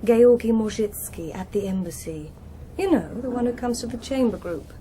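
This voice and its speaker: An actress playing a breezy, defiant young British daughter in a radio drama, flippant, evasive and offhand. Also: female voice, on the high side, animated, normal speed, crowd noise, close to an everyday voice. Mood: teasing. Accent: British English. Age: young adult.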